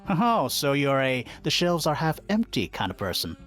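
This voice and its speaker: A level-headed detective in a mystery game. He is measured and skeptical with dry amusement, his intonation moving a lot. Music plays clearly behind him. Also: fairly high pitch, sing-song, a bit bright. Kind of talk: video game voice acting.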